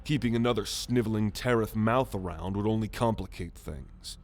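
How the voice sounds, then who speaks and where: A man voicing a proud, gruff alien military renegade in an ASMR roleplay, haughty and sardonic in a measured delivery. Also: fairly low pitch, lightly acted. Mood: pragmatic, brusque. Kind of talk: ASMR roleplay monologue to the listener.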